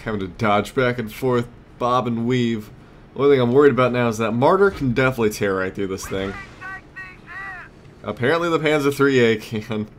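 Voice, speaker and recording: A man's voice: mid-range voice, a little fast. A man giving casual gameplay commentary as a let's play host, muttering and exclaiming as he plays. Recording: clear background noise, game ambience.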